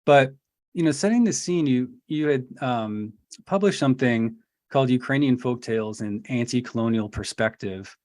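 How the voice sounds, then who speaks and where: A man's voice: medium pitch, moderate pace, a little mellow, dry recording. A man hosting an interview podcast who hesitates and repeats words as he frames his questions. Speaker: podcast host. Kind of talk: casual interview talk.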